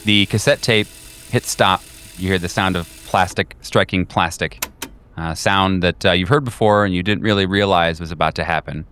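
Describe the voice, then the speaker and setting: A man working as game master, improvising tabletop narration in a halting, casual way, thinking aloud. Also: sits low, a bit bright, a little hiss or hum.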